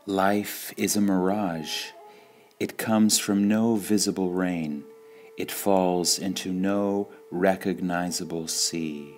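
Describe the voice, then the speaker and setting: A man reads spiritual sayings in a low, deep voice, unhurried and measured. He leaves pauses between phrases, as in a meditative recitation. Faint music plays softly behind him. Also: steady tone. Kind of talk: slow meditative reading of quotations.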